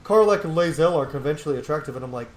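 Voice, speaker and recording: A man's voice: a little high, expressive, racing. A man who is sick, giving informal, casual commentary as he plays a video game. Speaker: gaming streamer. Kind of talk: casual let's-play commentary. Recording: roomy, with faint music behind.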